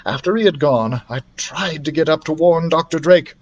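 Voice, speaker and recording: A man reading a children's adventure story aloud as a library storyteller, in an expressive narrating style. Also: a little high, moderate pace, highs cut off.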